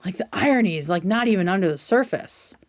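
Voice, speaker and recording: A woman's voice: medium pitch, expressive, fast. A woman hosting a livestream, giving informal, opinionated commentary and explaining her points at length. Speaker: livestream host. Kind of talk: casual commentary reacting to clips.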